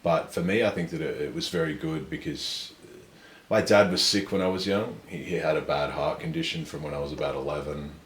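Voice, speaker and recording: Very deep-voiced man. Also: slight background noise. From Australia. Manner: measured, self-assured storytelling. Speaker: guest. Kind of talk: casual conversation. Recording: echoey room with faint ambience.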